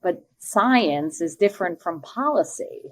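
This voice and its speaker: A woman's voice: lowish voice, expressive, unhurried. A woman acting as an assertive, protective small-town mother, pointed and persuasive, in a scripted podcast drama. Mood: condescending, firm.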